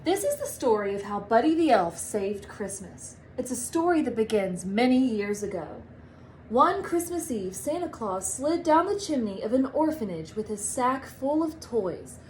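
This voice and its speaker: A woman reading a story aloud to children like a teacher, with animated, drawn-out storybook phrasing. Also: fairly high pitch, a little hiss or hum, low-quality recording. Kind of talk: expressive read-aloud storytelling.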